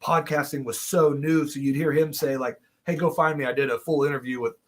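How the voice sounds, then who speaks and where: A man's voice: on the high side, fairly even intonation, fairly quick. A man in his thirties, a podcast guest, telling long rambling stories in casual chat, laughing often and restarting his sentences. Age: 30s.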